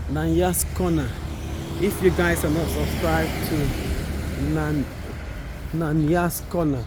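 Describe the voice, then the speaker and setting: A young man with a husky voice, hoarse from a sore throat, chatting casually and playfully to his vlog viewers as he rambles along. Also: on the high side, background noise. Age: young adult. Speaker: vlogger, content creator. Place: outdoors, quiet residential estate street.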